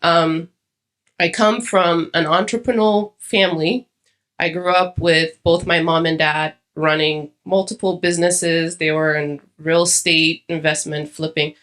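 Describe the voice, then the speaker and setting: A woman's voice: on the low side, steady tone, unhurried, fairly bright tone. A young adult woman from Ethiopia giving a conversational conference talk, with many fillers and restarts. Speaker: conference speaker. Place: conference hall.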